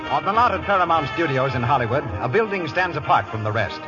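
A man announcing and setting the scene for a 1930s radio drama in a brisk, dramatic way. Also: a little high, sing-song. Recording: dull 1930s radio broadcast with music.

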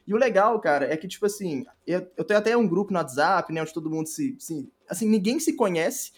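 A man's voice: high voice, lively intonation, fairly quick. A man with a Brazilian accent in a casual interview as a guest, rambling and repeating words as he explains. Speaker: guest, audio drama creator and narrator.

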